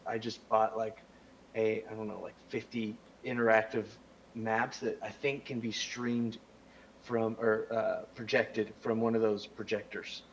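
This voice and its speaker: A man chatting as a guest, enthusiastic and relaxed, with slangy speech. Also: on the low side, measured pace, dull audio, a little hiss or hum. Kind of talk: casual chat.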